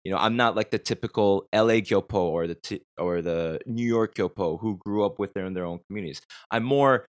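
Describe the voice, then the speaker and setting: Man, American accent, podcast host, low pitch, dry sound, reflective, rambling. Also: brisk, hardly any pauses, dry recording. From United States. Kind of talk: conversational podcast interview.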